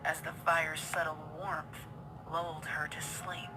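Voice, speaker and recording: Breathy female horror story narrator over loud music. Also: deep voice, flat delivery, unhurried, rough recording. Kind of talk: dramatic scripted story narration.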